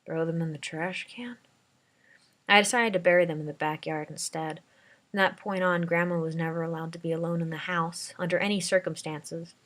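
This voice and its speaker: A woman's voice: on the low side, level tone, fairly quick, a bit bright. A woman reading a dramatic first-person story aloud as an amateur narrator.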